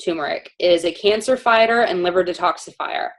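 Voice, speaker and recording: A woman's voice: fairly low pitch, fairly quick. Chatty woman presenting products. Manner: chatty, informal, many fillers. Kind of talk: casual panel presentation.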